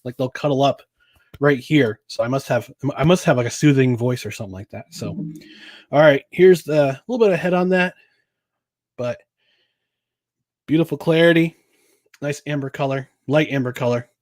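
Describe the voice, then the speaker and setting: A man's voice: medium pitch, normal speed, long pauses, darkish tone, no room sound, studio quality. A man hosting a casual solo podcast about sports and beer, rambling with chuckles, filler words and restarts. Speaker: podcast host.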